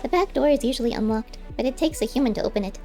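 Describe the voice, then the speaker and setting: A woman's voice: a little high, very fast, a little mellow, soft background music, pitched above the performer's own voice, natural voice. A woman voicing a wistful, plain-spoken male squirrel with unusual cleverness, striking bargains in an audiobook story. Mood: practical. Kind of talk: audiobook character voice.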